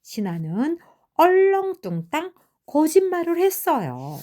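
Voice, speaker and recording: Slow, lively older woman storyteller. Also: sits high. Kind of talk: gentle children's story narration.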